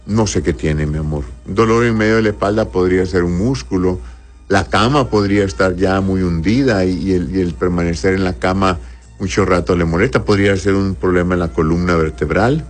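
Male Honduran doctor, low voice, informal advice to an audience with halting rephrasing, faint music underneath. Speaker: doctor answering audience health questions. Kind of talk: informal medical advice, reading questions aloud.

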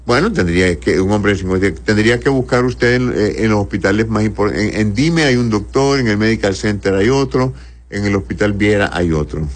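A man's voice: fairly low pitch, a little fast. A man with a Honduran accent, a doctor who reads out health questions and answers them informally, often repeating and rephrasing as he explains. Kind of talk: informal medical advice, reading questions aloud.

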